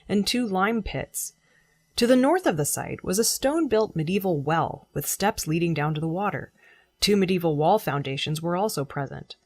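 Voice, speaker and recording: Husky, lower-pitched woman reading news. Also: no echo. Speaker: news reader. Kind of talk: scripted news narration.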